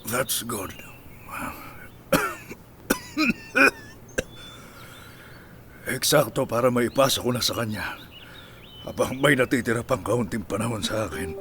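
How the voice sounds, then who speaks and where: A man's voice: medium pitch, sing-song, takes it slowly, pauses often, background noise. An actor playing an ailing elderly male company chairman who coughs and talks wearily, in a radio drama. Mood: weary. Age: older. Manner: coughing, weary. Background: music score.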